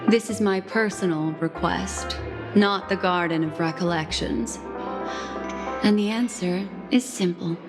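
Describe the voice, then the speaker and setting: Woman acting as enigmatic memory collector, elegant, silky formal phrasing, slow delivery, video game dialogue, prominent music underneath. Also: fairly low pitch, close to an everyday voice. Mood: serene, alluring. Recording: loud music behind the voice.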